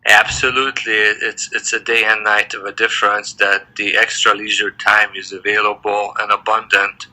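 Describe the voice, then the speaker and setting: A semi-retired man in his sixties, born in Hungary, talks through his answers slowly and thoughtfully, restarting often. His voice sits fairly low but rings bright and sharp, with a tense, forceful edge. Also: little pitch movement, low-quality recording. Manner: thoughtful, hesitant, many fillers and restarts. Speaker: interviewee, semi-retired dentist. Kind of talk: casual interview answers.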